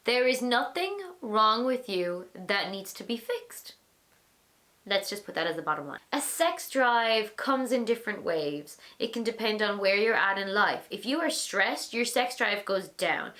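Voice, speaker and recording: A young Irish woman in her twenties, hosting a vlog and answering viewer questions in a frank, animated, chatty way. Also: mid-range voice, unhurried. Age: 20s. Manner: animated, acts out little voices, frank and chatty. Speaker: vlogger, host of an advice series.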